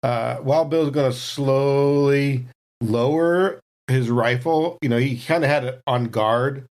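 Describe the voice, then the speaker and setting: A man playing a tabletop role-playing game, narrating his character in an improvised, conversational way. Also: mid-range voice.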